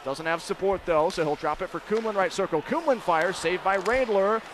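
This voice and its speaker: A man doing live radio play-by-play commentary of a hockey game, describing the action in crisp detail. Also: high voice, normal speed. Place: indoor ice hockey arena. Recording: noisy live arena broadcast. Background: loud arena noise.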